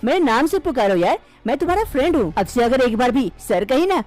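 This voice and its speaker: A woman's voice: sits high, sing-song, brisk, a little mellow, no echo, pitched above the performer's own voice. Woman doing a scheming young office guy. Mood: coaxing. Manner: sweet-talking, coaxing. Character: smooth-talking young male office worker, scheming.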